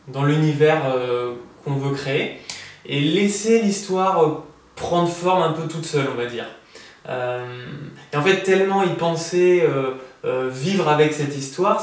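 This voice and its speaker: French man in his thirties, echoey room. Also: fairly high pitch. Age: 30s. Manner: hesitant, many fillers and restarts. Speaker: prize-winning author interviewed. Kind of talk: relaxed interview answers. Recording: echoey room, voice from far off the mic.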